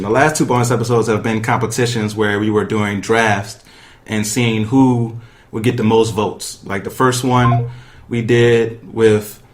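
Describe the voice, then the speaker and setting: A man's voice: mid-range voice, slight background noise. Guy hosting a sports podcast, regular mid voice, chatty and informal, talks right to the listeners. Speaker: podcast host. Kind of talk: casual sports talk with guests and sponsor reads.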